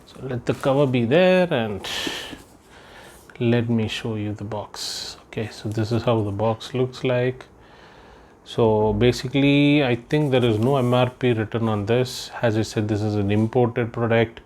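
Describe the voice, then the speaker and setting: Slow, chatty man unboxing gear. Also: medium pitch, darkish tone, a little hiss or hum. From India. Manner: chatty, thinks aloud, many fillers. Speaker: unboxing vlogger. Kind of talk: casual unboxing commentary. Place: indoors, room with patterned floor rug.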